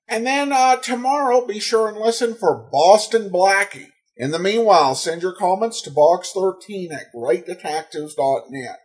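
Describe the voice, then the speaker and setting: A man hosting a podcast with loose solo commentary, halting and full of ums. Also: high-pitched. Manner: halting, many ums and broken phrases. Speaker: podcast host.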